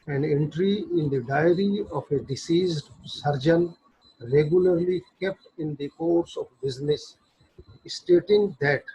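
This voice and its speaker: A man with a Pakistani accent giving an explanatory law lecture, reading passages out deliberately, phrase by phrase, and explaining them. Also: on the high side, dark tone, breathy edge. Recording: dull, lo-fi audio.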